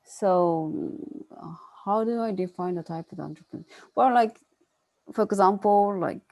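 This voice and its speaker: A woman's voice: mid-range voice, a little slow, creaky, a little mellow. A woman answering as a panelist in an online discussion, searching for words with many fillers.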